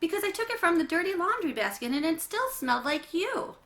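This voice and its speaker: A woman's voice: very high-pitched, big pitch swings, fairly quick, fairly bright tone, voice pushed up, full character voice. A woman voicing an earnest, literal-minded young boy in a children's storybook, speaking in a matter-of-fact, explaining way. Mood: earnest, hopeful.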